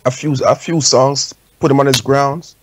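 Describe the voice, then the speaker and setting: A man's voice: mid-range voice, fairly even intonation, normal speed, dry recording. A man with a Jamaican accent talking casually and anecdotally as a guest in a radio discussion. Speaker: talk show guest.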